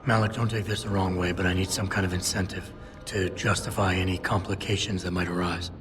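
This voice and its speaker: A hardened, blunt male security agent from a video game, voiced by a man in a low, terse, gravelly register. He sounds distant in a long reverb, with loud music beneath him. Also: little pitch movement, fairly quick, close to an everyday voice. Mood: steady. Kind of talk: video game cutscene dialogue.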